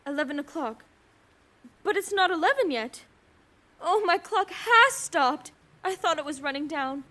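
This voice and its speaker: A woman's voice: sits high, expressive, a little slow, bright, forceful tone, exaggerated voice. An actress playing a nervous, demanding bedridden woman in a suspense radio play, agitated and pleading. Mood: alarmed, fearful.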